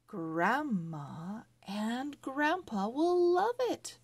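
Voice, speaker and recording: A practical, reassuring storybook mother, read by a woman, speaking very slowly and carefully. The voice is husky, with lively rises and falls. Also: fairly high pitch, voice pushed up, full character voice. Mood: pleased.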